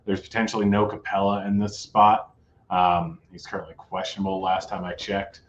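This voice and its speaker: A man's voice: low-pitched, level tone, moderate pace, highs cut off, slight background noise. A man hosting a casual sports betting show, talking through his picks in a laid-back way, his voice a bit worn. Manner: laid-back, rattles off odds. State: losing his voice.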